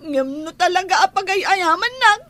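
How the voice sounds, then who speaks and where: A woman's voice: very high voice, expressive, moderate pace, sharp tone, no echo, exaggerated voice. An actress in an Ilocano radio drama playing a young woman, speaking tearfully and pleadingly. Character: young woman Angie, heartbroken over her love.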